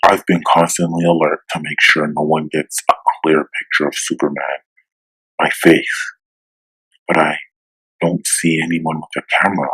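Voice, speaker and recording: A man voicing a stern, steadfast superhero with a firm, measured delivery in a comic-book drama. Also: a bass voice, little pitch movement, pushed and bright, slightly rough, full character voice, rough recording. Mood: uneasy, brooding. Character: stern, righteous male superhero, steadfast and unafraid.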